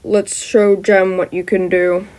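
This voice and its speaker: A weary male teacher with a dry, clipped delivery and little patience. His voice stays a touch lower and flatter than the narration. A woman reader plays him in a storybook podfic. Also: slow, natural voice. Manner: dry and curt.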